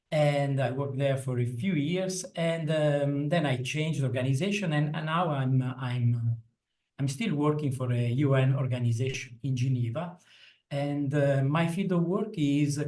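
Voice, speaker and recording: Middle-aged man, Italian accent, halting speech, frequent fillers, mid-pitched voice. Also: darkish tone. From Italy. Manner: hesitant, many fillers and restarts. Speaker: interview guest, internal coach. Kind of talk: conversational interview.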